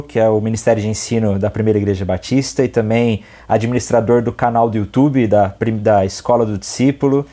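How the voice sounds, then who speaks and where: A man's voice: medium pitch, warm tone. A man, a theologian and podcast guest who talks in a friendly, self-deprecating way and laughs often. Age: 20s. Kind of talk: casual podcast interview.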